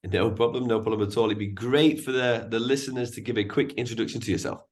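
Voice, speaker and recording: A man's voice: on the low side, fairly even intonation, fast. A man hosting a podcast, introducing his guest and asking questions in an upbeat, repetitive way. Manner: upbeat, repeats words, enthusiastic. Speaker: podcast host. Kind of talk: casual podcast interviewing.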